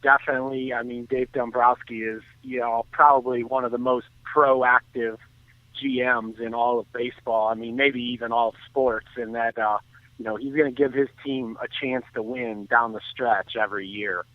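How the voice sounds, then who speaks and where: A man chatting casually about sports as a phone-in guest, speaking in a halting, conversational way over a telephone. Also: mid-range voice, steady tone, no room sound. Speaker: baseball blogger, phone-in guest. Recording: tinny narrow phone line.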